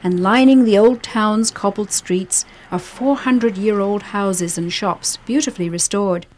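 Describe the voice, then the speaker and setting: A woman reading scripted travel documentary narration in a measured, descriptive way. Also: medium pitch, darkish tone, a little hiss or hum, low-quality recording. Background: background music.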